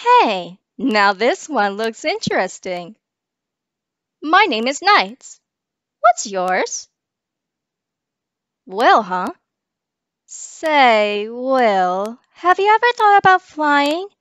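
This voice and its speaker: A woman's voice: a little high, sing-song, drawn-out words, pauses often, fairly bright tone, dry recording, heavily acted. A woman voicing a playful, coaxing dream jester spirit in a fan audition.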